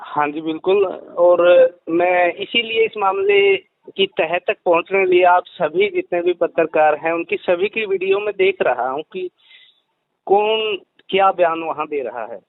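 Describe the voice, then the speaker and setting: A man's voice: high voice, huge pitch swings, a little slow, gravelly, no room sound. A man giving explanations in a phone interview as an investigator, emphatic and repeating his phrases. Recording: tinny, dry phone-call line.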